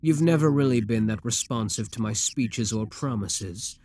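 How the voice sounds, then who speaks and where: A man voicing a possessive, scheming demon king who talks to the listener in a calm, coaxing, self-assured way. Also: medium pitch, moderate pace, lightly acted. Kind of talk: audio roleplay addressed to the listener.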